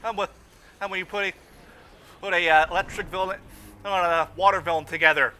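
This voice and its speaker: A man's voice: sits high, steady tone, fairly quick, sharp tone, faint music behind. A man giving casual, exclamatory live commentary as he hosts a cartoon watch-along, with the show's audio behind him. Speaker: host of a group riff watch-along. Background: cartoon episode audio.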